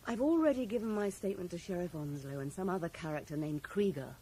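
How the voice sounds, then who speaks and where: A woman's voice: lowish voice, animated, fast, dark tone, close to an everyday voice. An actress playing a grieving, guarded and bitter woman in a radio drama, wistful yet curt with her questioner. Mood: defensive. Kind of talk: 1980s radio drama acting. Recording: noisy 1980s radio broadcast recording.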